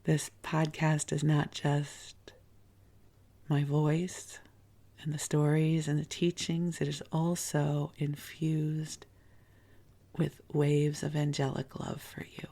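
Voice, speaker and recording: A middle-aged woman with a froggy morning voice hosts a sleep podcast from bed. She talks very slowly and evenly, slipping into a husky half-whisper. The tone stays low and flat, with a light gravelly fry. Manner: slow, soothing, partly whispered. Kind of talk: soft-spoken sleep podcast talk. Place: bedroom, under a blanket fort.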